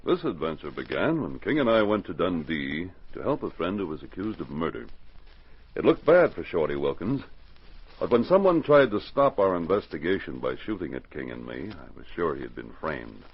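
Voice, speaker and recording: Commanding, animated police sergeant, old radio. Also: male voice, low voice, moderate pace, heavily acted. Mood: measured. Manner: firm, commanding, clearly enunciated. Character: steadfast male police sergeant of the frozen Yukon. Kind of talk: 1940s radio drama acting. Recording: dull 1940s radio recording, noisy.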